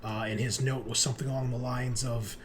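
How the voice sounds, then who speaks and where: A man's voice: medium pitch, flat delivery, fairly quick, a little hiss or hum. A man narrating a tabletop horror roleplaying game as game master, in a conversational, descriptive, improvised way.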